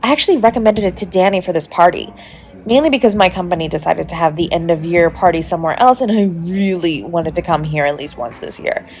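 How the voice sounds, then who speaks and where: A woman's voice: lowish voice, fast talker, a little mellow, slight background noise, lightly acted. A woman playing a charming, flirtatious stranger at a party, chatty, coy and teasing, in an intimate romantic audio roleplay. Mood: charming, inviting. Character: charming, playfully flirtatious adult party guest, smooth-talking stranger. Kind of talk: romantic audio roleplay, intimate one-sided dialogue to the listener. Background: music behind the voice.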